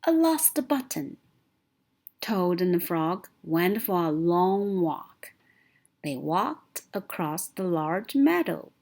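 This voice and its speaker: Slow, expressive woman reading to kids. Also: on the low side. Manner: slow, clear and expressive storytelling. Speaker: children's story reader. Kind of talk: read-aloud for children.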